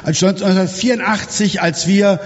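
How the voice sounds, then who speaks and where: A man's voice: high-pitched, fairly even intonation, moderate pace. A man interpreting a sermon live, with hesitant restarts as he paraphrases each line. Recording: muffled, echoey hall.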